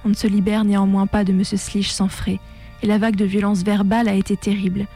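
A young woman reading a scripted personal testimony in a measured, composed, literary way. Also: mid-range voice, level tone, dark tone, husky, soft background music. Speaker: narrator of a personal testimony on a radio show. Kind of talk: scripted first-person narration, literary testimony read aloud.

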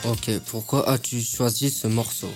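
A man's voice: mid-range voice, little pitch movement, a little slow. A man interviewing a guest, asking plain and direct questions. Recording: music clearly heard behind.

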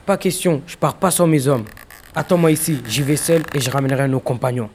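A man's voice: mid-range voice, brisk, darkish tone, slight background noise, natural voice. A teenage boy playing a resolute Greek hero who talks like a modern, slangy youth in a school audio drama. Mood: determined. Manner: colloquial, slangy.

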